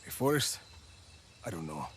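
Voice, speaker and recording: Rugged, terse action hero, faint background noise. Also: male voice, sits low, big pitch swings, moderate pace. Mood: weary, wry. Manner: terse, understated, dry. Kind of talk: video game cutscene acting.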